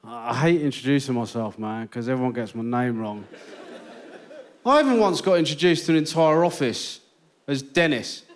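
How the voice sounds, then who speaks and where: A man's voice: mid-range voice, expressive, moderate pace. A man with a British accent telling anecdotes in a live stand-up set. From Britain. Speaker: stand-up comedian. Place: on stage in a radio theatre. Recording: noisy live theatre recording.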